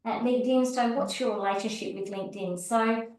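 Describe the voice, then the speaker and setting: A woman's voice: a little high, steady tone, a little fast, darkish tone, heavy reverb, recorded from a distance, low-quality recording. A woman hosting an online networking meeting, encouraging and inviting each member to speak in turn. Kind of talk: hosting a video call networking session. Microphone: video call.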